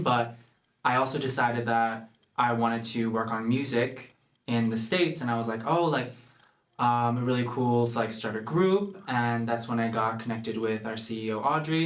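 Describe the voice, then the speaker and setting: A man's voice: mid-range voice, fairly bright tone, roomy sound. A young man from New York who sings in a pop group, answering interview questions casually and upbeat. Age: young adult.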